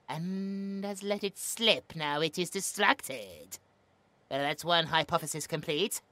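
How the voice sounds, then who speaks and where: A woman's voice: deep voice, sharp tone, no echo, exaggerated voice. A woman playing a cruel, gloating scientist who interrogates captives, with theatrical, taunting emphasis, in a horror audio roleplay. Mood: sneering, taunting.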